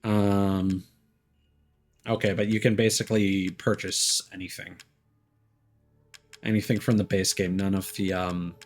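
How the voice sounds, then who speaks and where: Low-pitched man streaming over game music. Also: creaky. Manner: rambling, thinking aloud, hesitant pauses. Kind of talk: casual live gameplay commentary.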